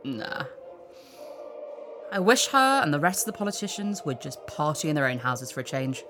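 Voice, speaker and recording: A woman's voice: deep voice, sing-song, normal speed, over music. A woman voicing a jaded celebrity TV presenter in an audio drama, dry, offhand and cynical.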